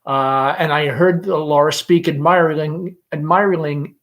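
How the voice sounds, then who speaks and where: A man reading a play aloud in deliberate, expressive scripted narration. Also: fairly high pitch. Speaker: reader, principal.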